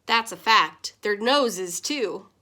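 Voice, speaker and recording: A woman voicing a gentle, charming blind man on a bus in a storybook. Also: medium pitch, animated, slow pace.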